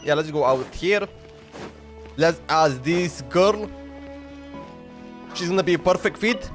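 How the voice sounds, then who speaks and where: A man's voice: fairly high pitch, takes it slowly. A man streaming a game, giving casual, slangy reactions and repeating himself. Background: game music.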